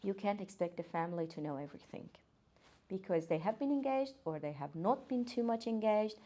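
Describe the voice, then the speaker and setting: A woman's voice: mid-range voice, expressive, a little fast, warm tone. A woman, a guest occupational therapist talking earnestly, with hesitant fillers and restarts. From Iran. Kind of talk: casual podcast interview.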